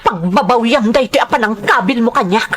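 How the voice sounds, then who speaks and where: A woman's voice: fairly high pitch, expressive, normal speed, crowd noise, close to an everyday voice. A woman acting a defiant, bitter young wife in a radio-style melodrama, confrontational, with an Ilocano accent. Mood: furious. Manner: heated, confrontational.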